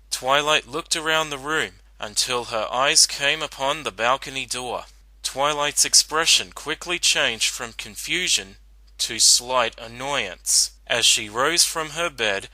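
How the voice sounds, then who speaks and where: A man narrating a dramatic reading of fan fiction in an earnest, deliberate storytelling manner. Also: medium pitch, pushed and bright, no room sound, rough recording.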